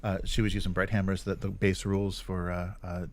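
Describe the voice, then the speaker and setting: A man's voice: low voice, level tone, brisk, no room sound. A man co-hosting a livestream in a casual chat about tabletop gaming. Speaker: livestream co-host.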